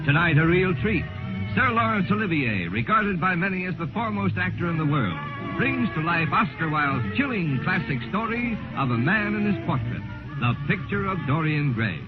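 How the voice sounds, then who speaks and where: A male radio host speaks in a fairly high, widely swinging voice. His announcing is formal and dramatic in 1950s style, with clear music behind him on a narrow old broadcast recording. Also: sing-song, moderate pace.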